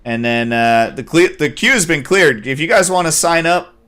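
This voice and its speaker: A man's voice: a little high, animated, moderate pace, sharp tone. A man hosting a gaming livestream, upbeat and busy, chuckling as he manages players and comments on the match. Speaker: stream host. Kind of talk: casual gaming stream commentary.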